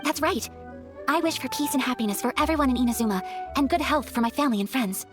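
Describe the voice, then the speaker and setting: A woman voicing a gracious young noblewoman in a video game, speaking politely and gently with formal, earnest phrasing. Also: high-pitched, fast talker, music in the background, natural voice. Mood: tender, earnest.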